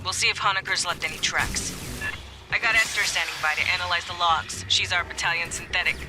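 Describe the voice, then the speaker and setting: Game casting: male actor as sardonic, brash marine sergeant, higher register, salty squad-leader talk over heavy music. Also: steady tone, fairly quick, lightly acted. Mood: wry, confident. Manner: casual, sardonic, profane military talk. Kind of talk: video game voice acting, radio briefing.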